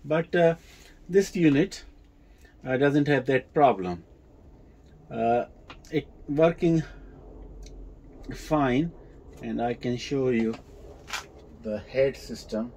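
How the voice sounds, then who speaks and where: A man with a non-native English accent giving a casual, unscripted demonstration in a halting, hesitant way with repeated words. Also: medium pitch, animated, darkish tone, slightly rough. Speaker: presenter of vintage audio equipment videos.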